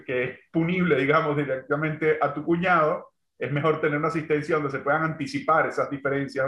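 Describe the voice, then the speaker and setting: Man, guest entrepreneur, slightly high voice, reflective, lots of filler words, echoey room, dull lo-fi audio. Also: fairly bright tone. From Venezuela. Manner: thoughtful, full of fillers like "este" and "digamos, ¿no?". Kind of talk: remote interview. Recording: roomy, dull lo-fi sound.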